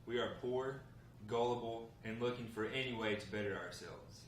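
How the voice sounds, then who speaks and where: A man reading the part of an earnest, hard-up man bargaining with a gang boss, measured and persuasive, at a script table read. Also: mid-range voice, natural voice. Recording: roomy, noisy, distant mic.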